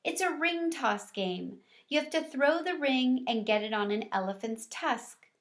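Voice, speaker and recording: A woman's voice: high voice, expressive, measured pace, pitched above the performer's own voice. A woman voicing a kindly, practical female primary school teacher in a children's storybook, patiently explaining. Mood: patient, amused.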